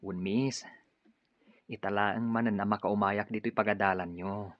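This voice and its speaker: A man with an Ilocano accent playing a smooth-talking, persuasive stranger in a radio drama. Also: fairly low pitch, fairly even intonation, unhurried, dry recording, natural voice. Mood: courteous, flattering. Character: Edgar, smooth-talking male stranger, persuasive recruiter for a group. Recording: dull lo-fi radio drama recording.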